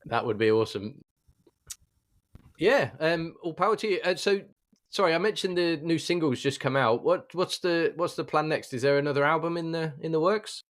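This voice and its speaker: A man's voice: on the high side, moderate pace. Man hosting a casual podcast. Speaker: podcast host. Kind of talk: casual interview chat.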